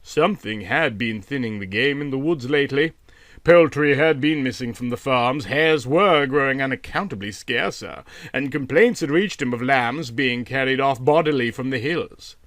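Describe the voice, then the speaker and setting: A man narrating a literary story from a script in a dramatic, expressive storytelling manner. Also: medium pitch, normal speed, no echo, clean studio sound. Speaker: audiobook narrator.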